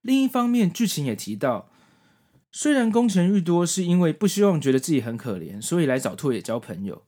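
Man storytelling with animated, swinging pitch. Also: brisk. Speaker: podcast host. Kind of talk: scripted narration of manga story and commentary.

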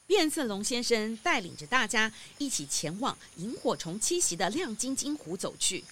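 A woman's voice: a little high, very animated, moderate pace, pushed and bright, slight background noise. A woman narrating a scripted children's mystery story as a storyteller. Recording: music under the narration.

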